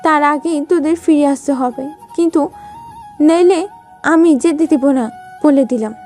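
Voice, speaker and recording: A woman's voice: high voice, a little slow, a little mellow, soft background music. A woman playing a strict, watchful elder sister-in-law with a stern, warning manner in an audio drama. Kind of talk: Bengali audio love-story acting.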